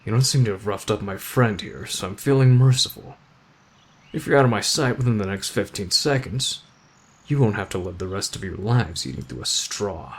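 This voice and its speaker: A man acting in an ASMR roleplay as a gruff, aloof teenage delinquent, deadpan and terse but protective of a friend. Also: fairly low pitch, normal speed. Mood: stern, unimpressed.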